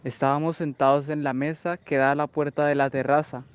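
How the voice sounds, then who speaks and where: Man acting a clear-voiced, aggrieved soldier. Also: on the high side, level tone, a little fast, close to an everyday voice. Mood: aggrieved, recounting. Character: aggrieved American army sergeant recounting a bar visit. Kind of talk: radio drama narrative monologue.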